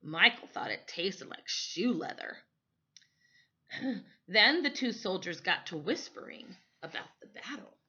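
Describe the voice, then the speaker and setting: A woman reads a children's story in a bright, forceful voice at a slow pace. Her phrasing is dramatic and deliberate, and the recording sounds a little dull. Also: medium pitch, lively intonation. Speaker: storytime reader. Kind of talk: expressive children's story reading.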